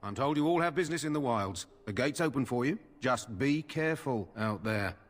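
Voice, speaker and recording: A man playing a stern soldier at a gate in a fantasy video game, formal and cautioning. His mid-pitched voice carries a long reverb tail. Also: lively intonation, fairly quick, close to an everyday voice. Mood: warning.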